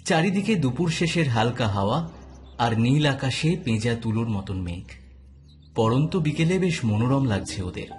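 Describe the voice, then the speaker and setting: A man's voice: medium pitch, expressive, normal speed, darkish tone, faint music behind. A man narrating a scripted detective story as an audio drama narrator.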